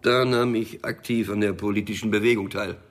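An actor playing a cynical small-time hustler in a crime radio drama, muttering sardonically and defiantly in a gravelly voice. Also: male voice, fairly low pitch, steady tone, a little fast, close to an everyday voice. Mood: defiant, curt.

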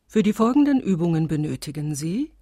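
Calm instructional narrator, breathy, lowish voice, measured, exact, radio drama. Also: female voice, no room sound, clean studio sound. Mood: calm, instructive. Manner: measured, matter-of-fact, instructional. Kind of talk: scripted step-by-step instructions.

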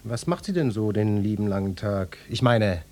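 An actor playing a pompous, insinuating police detective who questions people in florid, officious language in a satirical radio play. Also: male voice, on the low side, lively intonation, normal speed, darkish tone, close to an everyday voice. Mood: probing. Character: pompous, nosy, lecherous police detective interrogating a housewife.

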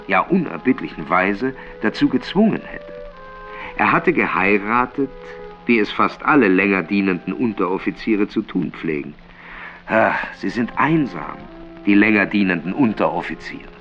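An actor playing a melancholic, bitterly ironic writer who recites his works in a theatrical, deliberate way in a radio play. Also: fairly low pitch, dull audio. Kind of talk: literary radio play reading.

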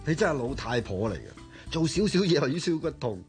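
A man acting in a radio drama as an exasperated young renovation worker with a soft heart, blunt and nagging in colloquial Hong Kong Cantonese. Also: mid-range voice, very animated, brisk, soft background music, lightly acted. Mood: teasing, wistful.

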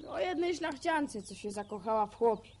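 A woman's voice: on the high side, lively intonation, normal speed, a little mellow, slight background noise, natural voice. A woman playing an eager, bookish village farm boy in a radio drama, with rustic colloquial speech. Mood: earnest. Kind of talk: naturalistic Polish radio drama acting.

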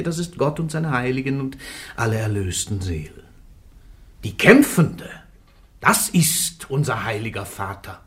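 An actor playing a stern, learned cleric as interrogator in a radio play, with emphatic, didactic phrasing. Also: male voice, on the low side. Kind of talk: German radio play acting.

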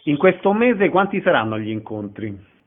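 A man's voice: a little high, big pitch swings, normal speed. A man hosting a radio interview. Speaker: radio host interviewing guests. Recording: narrow phone-like radio broadcast sound.

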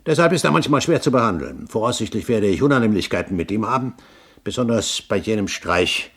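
An actor playing a proud, cunning older pirate captain in a 1970s German radio drama, speaking eloquently and theatrically. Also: male voice, lowish voice, very animated, fairly quick, fairly bright tone, breathy edge, full character voice. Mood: smooth, persuasive. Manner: eloquent, pompous, theatrical phrasing.

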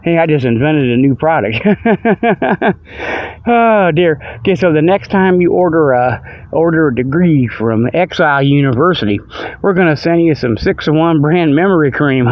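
An older man with a slightly high voice hosts a homespun radio show. He wanders through a casual, folksy monologue and jokes as he goes. The audio is dull and lo-fi. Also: lively intonation, normal speed, dry recording. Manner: folksy, meandering, chuckles at own jokes. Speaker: host of a hobby internet radio show. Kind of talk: rambling casual monologue, joking. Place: outdoors by a river.

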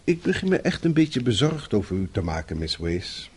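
Husky, blunt office boss. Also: male voice, medium pitch, very animated, moderate pace. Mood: stern, concerned. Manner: formal, pointed, probing. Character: blunt but concerned office boss, Mr Cameron. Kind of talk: Dutch radio play acting.

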